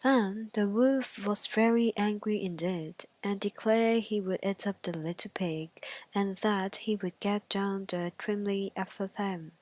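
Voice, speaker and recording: A woman's voice: medium pitch, fairly even intonation, measured pace, a little mellow, a little hiss or hum. A woman storyteller narrating a scripted children's bedtime story.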